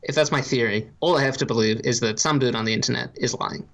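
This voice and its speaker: A man's voice: mid-range voice, vocal fry, fairly bright tone. Irish-born guy, no real accent, dry and methodical, talks things through on a podcast. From Ireland. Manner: dry, deliberate, lays out reasoning step by step. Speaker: podcast co-host. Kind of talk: casual podcast chat.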